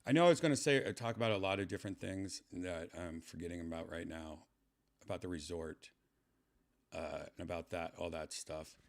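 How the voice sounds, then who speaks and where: A man's voice: sits low, fairly quick. A man in his fifties chatting casually to his livestream viewers, rambling with lots of fillers and restarts. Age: 50s. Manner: meandering, trails off, many fillers and restarts. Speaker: livestream host.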